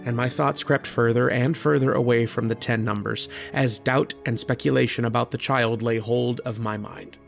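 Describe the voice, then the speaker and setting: Man acting a gravelly, brooding, erudite lodger. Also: mid-range voice, fairly even intonation, soft background music, close to an everyday voice. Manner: literate, deliberate, introspective, with hesitant starts. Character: lonely, erudite, brooding male mathematician lodger, earnest and uneasy. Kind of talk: old-time radio drama acting with first-person narration.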